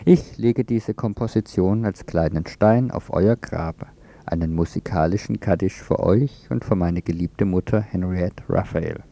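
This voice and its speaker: A man presenting on radio, reading scripted narration and poetry in a measured, solemn manner. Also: deep voice, creaky, dark tone.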